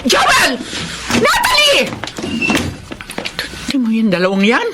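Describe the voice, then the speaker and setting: A woman's voice: high voice, huge pitch swings, slow pace, exaggerated voice. A woman playing a firm, fair-minded mother in a Filipino radio drama, admonishing her children. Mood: exasperated. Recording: loud background noise.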